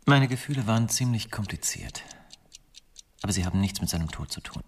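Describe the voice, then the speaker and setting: An actor playing a guarded, proud academic husband under questioning in a German radio crime drama, answering tersely and quietly. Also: male voice, on the low side, lively intonation, a little fast, husky, recorded from a distance. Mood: defensive.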